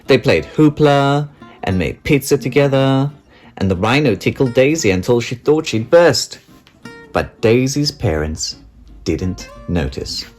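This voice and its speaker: Slow, very animated children's storybook narrator. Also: medium pitch, soft background music. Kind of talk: expressive children's read-aloud narration.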